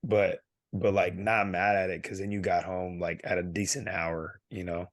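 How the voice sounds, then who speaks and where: A man in his thirties from California, co-hosting a podcast and telling rambling, animated stories while losing his voice and clearing his throat. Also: low-pitched, normal speed, no echo, studio quality. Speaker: podcast co-host. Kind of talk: casual podcast chat.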